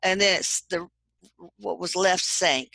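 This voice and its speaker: Chatty middle-aged lady reading notes with jokey asides, bright forward voice, dry close mic. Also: lowish voice, measured pace, creaky, dry recording. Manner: chatty, jokey, reads with asides and spelled-out names. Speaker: host. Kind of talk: casual chat, reading aloud from notes.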